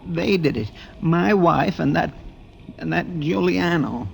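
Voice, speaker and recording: A little old wronged husband in a vintage radio drama. His voice is creaky and feeble, with halting phrases. The recording is faintly noisy. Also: on the high side, animated, heavily acted. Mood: shaken, aggrieved. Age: older. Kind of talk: 1940s radio acting.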